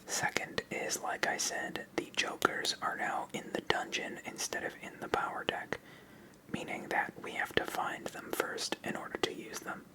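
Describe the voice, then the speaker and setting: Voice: moderate pace, poor audio. An ASMR host speaks entirely in a whisper. The delivery is a methodical card game walkthrough, explaining each move step by step.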